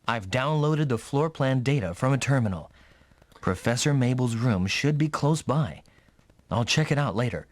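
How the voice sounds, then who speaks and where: An actor playing a young, headstrong rookie mech pilot in an anime-style video game, speaking dramatically and often thinking aloud. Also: male voice, medium pitch, expressive, moderate pace, a little hiss or hum, close to an everyday voice. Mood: focused, pleased.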